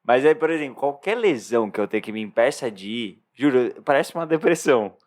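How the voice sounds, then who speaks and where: A man's voice: mid-range voice, a little fast. A man co-hosting a podcast who chats casually, joking and putting himself down. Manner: joking, self-deprecating, animated reactions. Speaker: podcast co-host. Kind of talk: casual chat.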